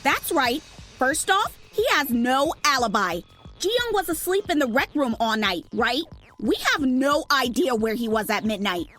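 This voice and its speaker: A hot-headed young woman, acted by a woman in an audio drama. Her voice is high and swings widely as she makes blunt, insistent accusations over faint music. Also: sing-song, a little slow, fairly bright tone, full character voice. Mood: heated, accusing. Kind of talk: fan audio drama acting.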